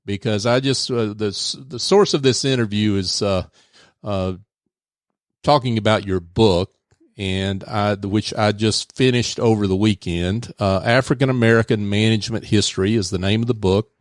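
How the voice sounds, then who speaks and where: A man hosting a radio business show, chatting in a relaxed way with many fillers from a studio. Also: lowish voice, moderate pace, dry recording. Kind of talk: casual radio interview.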